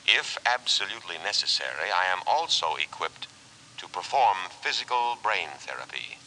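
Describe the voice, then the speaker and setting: An actor playing an officious, menacingly solicitous robot in a 1950s radio drama, speaking formally and without emotion. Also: male voice, deep voice, very animated, normal speed, bright, forceful tone, full character voice, rough recording. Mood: calm menace. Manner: formal, precise, unemotional delivery. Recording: hissy 1950s radio recording.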